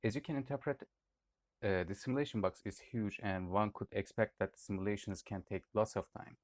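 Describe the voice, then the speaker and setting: A man's voice: fairly low pitch, fairly even intonation, brisk, no echo, studio quality. A young man from Turkey reading out a student project presentation, with occasional hesitations. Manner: read-aloud explanation with occasional "uh" hesitations.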